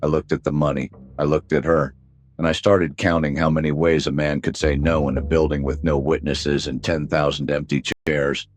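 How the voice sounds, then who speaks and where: An actor playing a weary, cynical private detective in a noir radio drama, talking in a wry deadpan. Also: male voice, rumbling low, normal speed, dry recording. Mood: brooding, wry.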